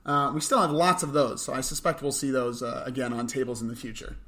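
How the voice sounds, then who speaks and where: Bright-voiced young man, dry and mock-serious. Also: medium pitch, fast. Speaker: co-host of a camp morning show podcast. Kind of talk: chatty news and mailbox segment.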